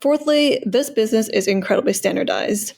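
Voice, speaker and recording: A woman's voice: on the high side, brisk, vocal fry, darkish tone. A woman selling her business, giving earnest, well-organized answers in a podcast interview and laying out her points one by one. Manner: self-promoting, proud of her work. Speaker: business seller.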